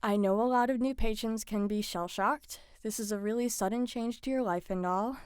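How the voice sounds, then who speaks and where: A woman's voice: fairly high pitch, moderate pace, a little mellow, smooth, no room sound, studio quality, natural voice. A woman playing a friendly, slightly awkward patient supervisor in an audio drama, talking in an eager, reassuring way.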